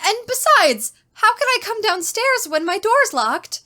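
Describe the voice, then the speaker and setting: A woman's voice: very high voice, sing-song, a little slow, sharp tone, full character voice. An actress playing a terrified, genteel captive young woman in a suspense drama, with a faltering, melodramatic delivery. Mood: fearful. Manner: faltering, repeats words, melodramatic. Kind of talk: old-time radio style suspense drama, diary monologue.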